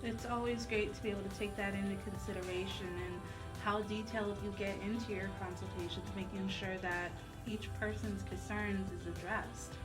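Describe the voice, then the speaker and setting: A woman's voice: medium pitch, low-quality recording. A woman co-hosting a talk radio show, presenting in a promotional announcing style. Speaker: radio co-host. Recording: music bed under the voice. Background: music.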